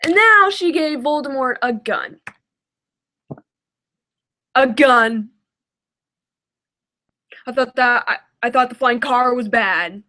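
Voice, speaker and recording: Female reaction host; fairly high voice, slow hesitant delivery, casual and self-deprecating. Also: pushed and bright.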